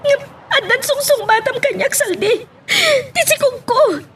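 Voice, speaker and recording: Lady playing a heartbroken young girl, super high plaintive voice, kinda far from the mic. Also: measured pace, a bit bright, soft background music. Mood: desperate. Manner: plaintive, emotional. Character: anguished young pregnant woman, wronged in love. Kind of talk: melodramatic audio drama acting.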